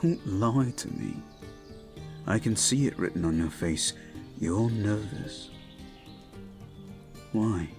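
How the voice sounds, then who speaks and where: A man playing a devoted, protective young prince in an intimate audio roleplay, speaking gently and somewhat formally to his betrothed. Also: fairly low pitch, animated, gravelly, over music, exaggerated voice. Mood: tender, reassuring. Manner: gentle, soothing, measured, slightly formal phrasing.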